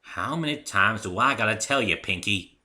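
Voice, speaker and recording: A man's voice: lowish voice, animated, normal speed, pushed and bright, pitched above the performer's own voice. A man voicing a hearty, stubborn young farm mare, a folksy cartoon pony with a Southern country accent, in a story reading. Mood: annoyed.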